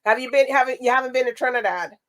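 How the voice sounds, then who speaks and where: A woman's voice: high-pitched, fast, a loud crowd. A woman hosting a casual interview, putting in short responses and questions. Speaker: interview host.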